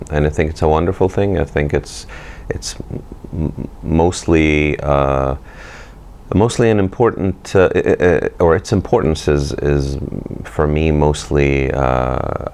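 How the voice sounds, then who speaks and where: Very deep, gravelly-voiced man, unhurried and halting. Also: a little mellow, a little hiss or hum. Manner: halting, many fillers, thoughtful. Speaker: poet and physician. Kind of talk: recorded interview monologue.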